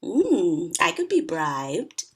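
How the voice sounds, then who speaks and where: An actress plays a hard-drinking bridesmaid in her early thirties, wry and playful. Her voice sits a little low for a woman and moves around a lot in pitch, as read in a comedy script. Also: wildly swooping pitch, very slow, full character voice. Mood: teasing.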